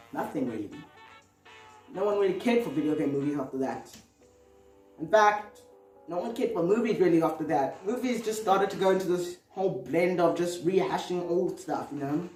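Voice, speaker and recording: A woman with a mid-pitched voice hosts a casual commentary video. She talks in a loose, conversational way with hedging asides. The sound is roomy and echoey, with music playing behind her. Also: recorded from a distance. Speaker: video host.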